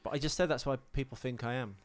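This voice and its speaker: A man with a mid-pitched voice co-hosts a podcast. He chats casually and jokes at his own expense, wandering from thought to thought in a self-deprecating ramble. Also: quick pace. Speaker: podcast co-host. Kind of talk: casual, joking podcast chat.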